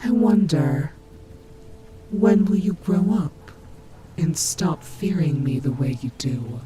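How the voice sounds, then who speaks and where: A woman's voice: sits low, animated, heavy background noise. A woman voicing a menacing, taunting nightmare animatronic rabbit in a scripted audio roleplay, with a mocking, theatrical delivery. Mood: mocking, gloating. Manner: slow, mocking, theatrical drawl with whispered asides.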